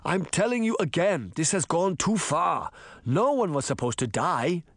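A middle-aged school principal, voiced by a male audiobook reader, agitated and defensive. His speech swings in pitch and carries an anxious, angry growl. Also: very animated, a little slow, no room sound. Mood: anxious, upset.

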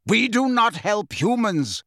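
A man voicing a fierce, proud male centaur warrior who snarls and shouts with hostility in an audiobook. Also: high-pitched, lively intonation, unhurried, no room sound, clean studio sound, pitched above the performer's own voice, heavily acted. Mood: snarling pride.

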